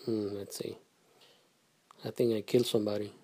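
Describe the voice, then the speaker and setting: A middle-aged man from Guatemala, answering questions in a casual family interview, halting and soft-spoken with pauses and restarts. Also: on the low side, little pitch movement, breathy edge. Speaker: interviewee, father.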